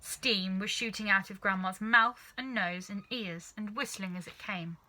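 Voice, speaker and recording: Casting: female children's storybook narrator. Expressive, dramatic, crisply forceful and very bright, mid-pitched. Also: unhurried, slight background noise. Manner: dramatic, animated reading. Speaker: storybook reader.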